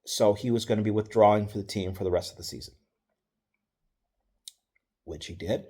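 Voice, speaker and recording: Low-voiced man telling coaching stories. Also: normal speed, slightly rough. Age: middle-aged. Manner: relaxed, wry and matter-of-fact, with fillers and self-corrections. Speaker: football coach. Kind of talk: casual storytelling.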